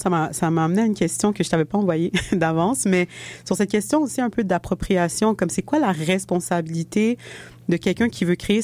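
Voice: mid-range voice, a little mellow, dry recording. An interviewer asking thoughtful, probing questions in a conversation.

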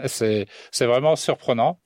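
Hesitant middle-aged poet, dry sound. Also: male voice, medium pitch, slow, darkish tone, no room sound. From southern France. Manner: hesitant, many fillers, chuckles often. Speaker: guest poet and French teacher. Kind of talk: radio interview. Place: radio studio.

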